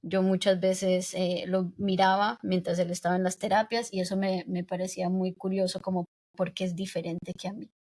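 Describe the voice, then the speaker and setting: A young woman raised in the United States, a podcast guest speaking reflectively in a casual interview, pausing often with fillers. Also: fairly low pitch, flat delivery, a little mellow. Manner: reflective, hesitant with many fillers.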